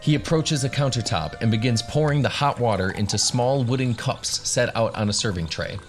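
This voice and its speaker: A man's voice: medium pitch, moderate pace, soft background music. A man narrating a tabletop fantasy radio story as game master, in a scripted storytelling style.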